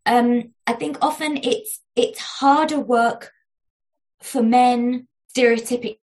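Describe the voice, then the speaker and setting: A woman guest in an interview who talks in a thoughtful, searching way. She describes her own voice as squeaky and little, fills her speech with fillers and restarts, and often checks in with "right?". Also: steady tone, slow pace, darkish tone. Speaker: guest, negotiation researcher. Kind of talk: conversational interview.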